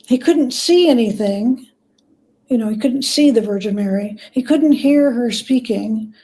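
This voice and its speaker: High-pitched woman telling stories, mellow tone. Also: rough recording. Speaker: guest biographer. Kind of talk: conversational anecdotal storytelling.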